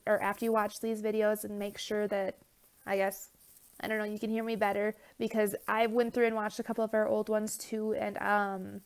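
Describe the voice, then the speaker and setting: A woman's voice: mid-range voice, smooth. A young woman teacher with a stuffy nose giving a casual remote lesson, chatty with many fillers and okay check-ins, recorded on a phone.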